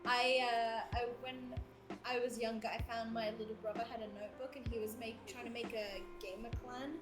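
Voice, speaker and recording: A young woman chatting casually with friends in a giggly, halting storytelling way. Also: on the high side, flat delivery, poor audio. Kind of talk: casual chat among friends. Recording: music behind the voice. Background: music.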